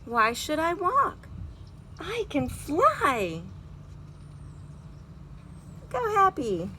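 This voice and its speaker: A woman plays a little baby robin from a storybook, nervous and excitable. The voice is raised high and swings widely in pitch, with dramatic exclamations. Faint outdoor noise sits behind it. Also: very slow, exaggerated voice. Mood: thrilled.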